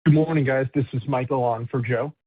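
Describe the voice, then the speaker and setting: A man's voice: medium pitch, fairly even intonation, fairly quick, husky, no echo. Man calling on a tinny, dry phone line. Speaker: financial analyst caller. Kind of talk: earnings call questions. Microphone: phone call.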